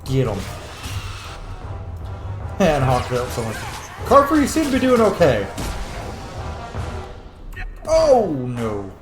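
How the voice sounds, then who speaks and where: A man's voice: mid-range voice, huge pitch swings, slow pace, pauses often. A man hosting a roleplay playthrough with casual game commentary. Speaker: host, gaming streamer. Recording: roomy echo, game music behind.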